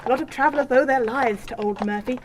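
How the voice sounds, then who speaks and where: A woman's voice: high-pitched, fast, noisy background, close to an everyday voice. A woman voicing a kindly, wary, giant male cart driver with a folksy, rambling manner in a comic audio drama. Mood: folksy, warm. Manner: folksy, rambling, dialect grammar.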